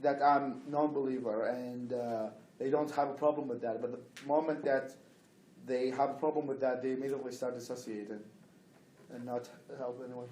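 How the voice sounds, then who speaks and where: A man with a mid-range voice speaks haltingly on a panel, hunting for the right words. The room gives his voice a clear echo. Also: mid-range voice, fairly even intonation, darkish tone, a little hiss or hum. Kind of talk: panel discussion. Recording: echoey room.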